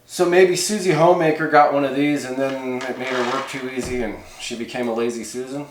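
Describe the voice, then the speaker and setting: A man's voice: mid-range voice, steady tone, brisk. A man giving a casual hands-on how-to demonstration, talking in a folksy, rambling, conversational way. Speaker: host of a radio hobby how-to channel. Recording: roomy echo, clear background noise, clicks.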